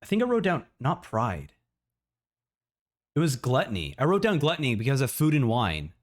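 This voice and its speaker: Guy streamer reacting, excitable, keeps repeating himself while he thinks, chatty. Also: mid-range voice, normal speed. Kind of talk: casual livestream chat.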